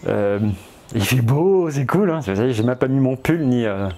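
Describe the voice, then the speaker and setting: A man's voice: mid-range voice, expressive, normal speed, mellow. A man with a cold, rambling in a hesitant casual vlog, full of fillers and restarts and chatty asides to his viewers. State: has a cold. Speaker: vlogger. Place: outdoors in spring.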